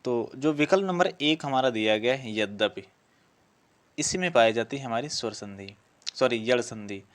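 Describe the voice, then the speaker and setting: A man's voice: mid-range voice, lively intonation, moderate pace. Man teaching an exam practice lesson. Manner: explanatory, asks questions then answers them. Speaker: Hindi grammar teacher for police exam prep. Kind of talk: exam lesson, reading and solving practice questions.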